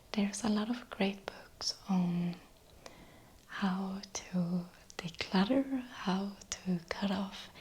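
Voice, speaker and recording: A young woman with a Swedish accent whispers her answers in a relaxed, drawn-out way, pausing now and then to find her words. Her voice sits at an ordinary pitch for a woman. A faint hiss of noise stays beneath her soft whisper. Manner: soft whispering, unhurried, with small hesitations. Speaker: ASMR creator. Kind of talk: whispered ASMR Q&A.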